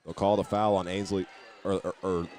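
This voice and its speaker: Male basketball play-by-play announcer, lowish voice with little inflection, plain delivery with the odd stumble, over noisy gym sound. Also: level tone, normal speed. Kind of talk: live basketball play-by-play commentary. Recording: noisy gym ambience behind the voice.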